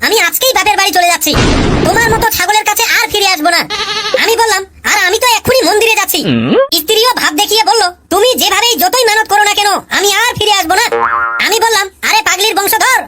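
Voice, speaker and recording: Woman as wisecracking cartoon pupil; fast, bright, high, storytelling punchlines. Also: over loud music. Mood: cheeky. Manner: chatty storytelling, punchline delivery. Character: cheeky male pupil, married, joking about his wife. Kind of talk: cartoon comedy voice acting.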